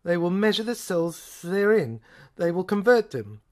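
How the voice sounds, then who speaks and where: A woman's voice: on the low side, big pitch swings, unhurried, a little mellow. A woman voicing a commanding male chief detective of a tyrannical state in an audio play, declaiming in clipped orders.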